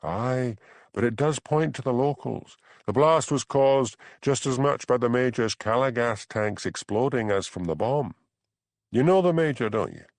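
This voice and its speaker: A man's voice: medium pitch, animated, measured pace, no room sound, pitched above the performer's own voice. A man voicing a brash, teasing Scottish detective in an audiobook crime story. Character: foxy, brash Scottish detective cadging whisky.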